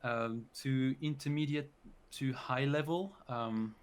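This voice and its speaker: A man's voice: mid-range voice, steady tone, takes it slowly, clean tone. Young man, chatty and laughing. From France. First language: French. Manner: friendly, laughs often, chatty. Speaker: guest, language YouTuber. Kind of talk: casual interview chat.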